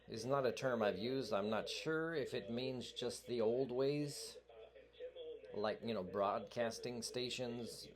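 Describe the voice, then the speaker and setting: Rambling man with low voice, crowd behind. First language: French. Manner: hesitant, full of fillers and restarts, earnest and lecturing. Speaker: vlogger. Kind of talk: rambling monologue addressed to a radio host.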